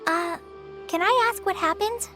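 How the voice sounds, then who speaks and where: A woman's voice: very high voice, a little slow, pushed and bright, exaggerated voice. A woman voicing an earnest, polite young apprentice girl in a video game, girlish and eager to help. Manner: respectful, eager, slightly unsure. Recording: music behind the voice.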